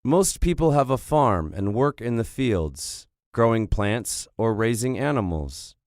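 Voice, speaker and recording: A man's voice: mid-range voice, expressive, unhurried, darkish tone, dry recording, studio quality, natural voice. Man reading as a plain French village boy. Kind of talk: scripted textbook reading.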